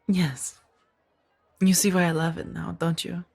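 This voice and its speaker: A woman acting in a soft-spoken ASMR roleplay as a kind, conflicted former warrior monk turned farmer, hesitant and earnest. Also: lowish voice, flat delivery, measured pace, gravelly. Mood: playful teasing.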